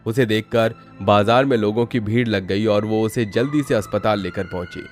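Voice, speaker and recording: A man's voice: on the low side, normal speed. A man narrating a scripted story in a dramatic storytelling style. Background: background music.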